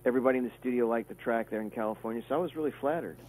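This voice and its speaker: A man's voice: mid-range voice, racing, darkish tone, poor audio. Chatty man, rambling. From Kentucky, USA. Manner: rambling, chatty, with many fillers and restarts. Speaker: guest musician. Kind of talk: casual radio interview.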